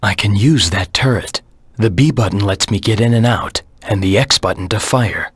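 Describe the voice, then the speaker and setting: A husky, low, brooding video game anti-hero. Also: male voice, no echo. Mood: cool, matter-of-fact. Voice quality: husky, gravelly. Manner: terse, serious, deliberate self-talk. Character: Shadow, brooding male anti-hero hedgehog, cold and determined. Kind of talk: video game character voice lines.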